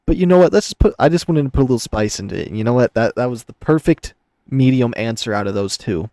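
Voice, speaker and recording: A man's voice: mid-range voice, quick pace, mellow, no room sound. A man narrating a visual novel and commenting on it casually as a gaming video host.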